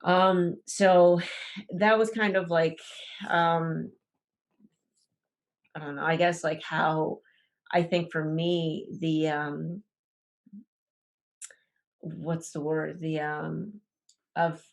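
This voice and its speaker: A woman's voice: sits low, level tone, drawn-out words, creaky, long pauses. A young woman from Ecuador telling her personal story on a podcast, hesitant, with many fillers and restarts. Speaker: podcast guest.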